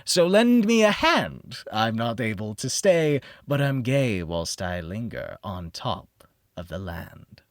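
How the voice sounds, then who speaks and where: A man's voice: lowish voice, very animated, slow, no echo, clean studio sound, exaggerated voice. A man voicing a tipsy, boisterous young man who declaims an eighteenth-century drinking verse in a rowdy lilt, as part of an audiobook story. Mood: drunken, merry.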